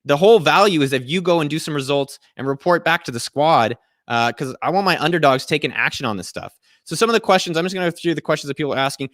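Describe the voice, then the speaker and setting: A man in his thirties hosting a casual live Q&A from a small room, giving a profane, energetic pep talk to his viewers. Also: on the high side, fast, dry recording, clean studio sound. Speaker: host answering viewer questions. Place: small indoor room at home.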